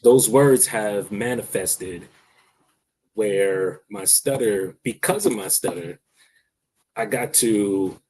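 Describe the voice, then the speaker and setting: A man's voice: lowish voice, darkish tone, slightly rough, poor audio. A man who stutters, talking in a casual discussion as a guest, with frequent hesitations and repeated words.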